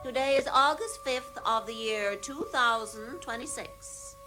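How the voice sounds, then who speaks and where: Woman as a high-pitched automated house voice. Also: unhurried, natural voice. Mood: repetitive, detached. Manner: repetitive announcement. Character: automated house's voice announcing the date, repeating itself. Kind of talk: audio drama announcement. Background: music.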